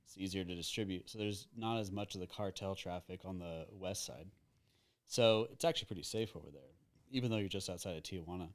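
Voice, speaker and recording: A man's voice: low voice, a little fast. A man telling a rambling story in casual chat among friends on a podcast, often laughing as he talks. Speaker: podcast guest.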